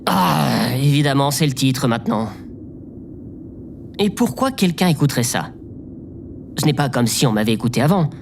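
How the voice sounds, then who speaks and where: A man's voice: medium pitch, very animated, moderate pace, gravelly, pauses often, slight background noise. A man playing a hesitant, self-doubting oddball in an audio drama, speaking with stiff politeness as if musing aloud. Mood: resigned.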